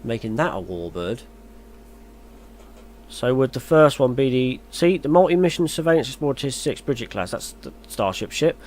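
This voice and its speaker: A man's voice: mid-range voice, expressive, moderate pace, darkish tone. A man reading gaming news aloud as a YouTuber, then musing in a hesitant, chatty way. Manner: muses aloud, hesitant and chatty when commenting. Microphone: voice-over on a screen recording.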